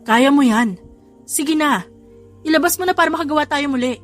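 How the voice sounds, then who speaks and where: A woman's voice: high-pitched, normal speed, pitched above the performer's own voice, natural voice. A tender vampire nobleman, a young husband who is earnest and playfully teasing, acted by a woman narrator. The delivery is romantic audio drama, with music playing underneath. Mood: teasing, urging. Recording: music behind the voice.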